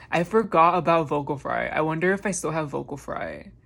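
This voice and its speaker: A man chatting casually while doing his makeup, rambling through his thoughts with a touch of vocal fry. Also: on the high side, animated, fairly quick, fairly bright tone. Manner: rambling, musing train of thought. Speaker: makeup vlogger. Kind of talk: casual chat during a makeup tutorial.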